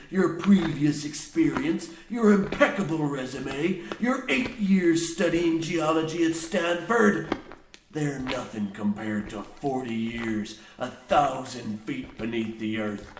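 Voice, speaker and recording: A man's voice: medium pitch, animated, takes it slowly. An actor playing a crazed, grandiose old coal mine foreman, speaking in theatrical, archaic rustic phrases in an old-radio horror parody. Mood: boastful. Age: older. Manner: theatrical, archaic pirate-like rustic speech, preachy. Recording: roomy echo, faint noise.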